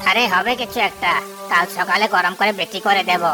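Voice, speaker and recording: A woman's voice: medium pitch, fairly even intonation, a little fast, music in the background, lightly acted. A woman voicing an envious, boastful male samosa seller in a village cartoon. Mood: impatient. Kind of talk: cartoon story voice acting.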